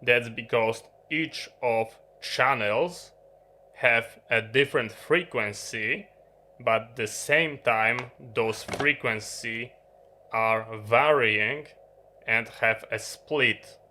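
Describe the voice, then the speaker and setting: A man who is not a native English speaker gives an unscripted product explanation, halting and often pausing mid-sentence. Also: mid-range voice, pushed and bright. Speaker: video presenter.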